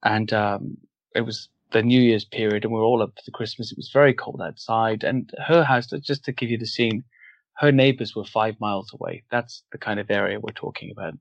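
A man who grew up in Wales, without a Welsh accent, talking as a guest in a casual interview and pausing thoughtfully to find his words. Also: on the low side, fairly even intonation, dry recording. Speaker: guest author. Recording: dull, dry lo-fi audio.

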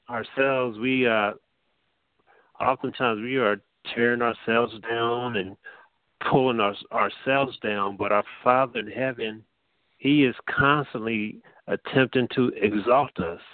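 A man's voice: fairly low pitch, dry recording. A man preaching an informal sermon, talking conversationally with frequent fillers and restarts. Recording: dry, tinny phone-like sound.

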